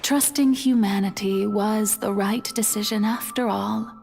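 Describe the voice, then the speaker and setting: A woman voicing a centuries-old female god in a video game, serene and quietly resolute, with a teasing, theatrical, formal manner. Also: medium pitch, steady tone, unhurried, creaky. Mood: solemn, knowing. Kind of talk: dramatic video game voice acting. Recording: music behind the voice. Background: music.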